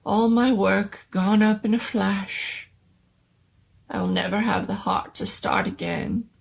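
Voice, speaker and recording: A woman's voice: medium pitch, fairly even intonation, slow, vocal fry, slight background noise. A woman voicing a fussy, old-fashioned male doctor with a pompous, exclamatory manner in a dramatic story reading. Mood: dejected.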